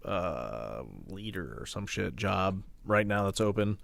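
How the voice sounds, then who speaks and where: A man's voice: low-pitched, sing-song, takes it slowly, gravelly, dry recording. A young man co-hosting a podcast. He chats casually, swears a lot, and trails off. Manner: laid-back, swears often, trails off. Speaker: podcast co-host. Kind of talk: casual chat.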